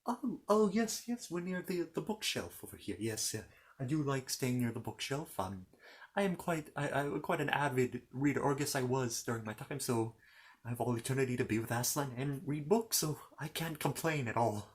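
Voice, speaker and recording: Man playing a halting, animated, bumbling knight. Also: mid-range voice, natural voice. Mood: welcoming, apologetic. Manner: halting, stammering, apologetic, chatty. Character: polite, bumbling, bookish knight from a fantasy kingdom. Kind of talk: improvised ASMR character roleplay.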